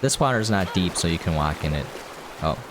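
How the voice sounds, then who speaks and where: A man's voice: low voice, expressive, fairly quick, noisy background. A man giving casual, conversational commentary while playing a game, in an informal way. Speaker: game reviewer and player, host.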